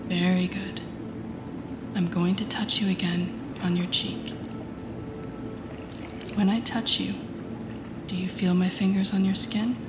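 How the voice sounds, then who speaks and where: A woman playing a confident, teasing telepathic villain in an intimate audio roleplay, speaking softly and often near a whisper in a soothing, guiding way. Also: mid-range voice, flat delivery, takes it slowly, pauses often, background noise, lightly acted. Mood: soothing, instructive. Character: teasing, confident female telepathic villain coaching a rookie hero. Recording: echoey indoor pool sound, music behind. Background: music.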